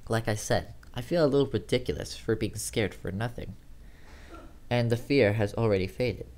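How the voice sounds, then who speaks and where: An amateur storyteller reads first-person horror fiction in a medium-pitched voice. The scripted narration is delivered dramatically, acting out the narrator's unease. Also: moderate pace, darkish tone.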